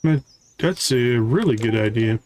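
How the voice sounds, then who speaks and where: Man, mid-range voice, animated pitch, casual live gameplay commentary, chatting to viewers, faint background noise with typing and clicks. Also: a little slow. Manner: thinks aloud, reads game dialogue aloud. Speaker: live streamer and game host.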